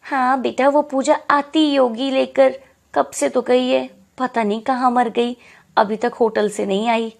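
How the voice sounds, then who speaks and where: A woman voicing a bossy, harsh aunt with a scolding, commanding manner in an animated story. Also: high voice, unhurried, gravelly. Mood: irritated.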